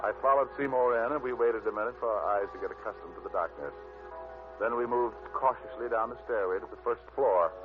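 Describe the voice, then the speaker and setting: A man's voice: on the low side, brisk, music in the background, natural voice. An actor playing a sardonic, wisecracking male private detective who also narrates in 1950s radio drama style. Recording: narrow, tinny old-time radio recording.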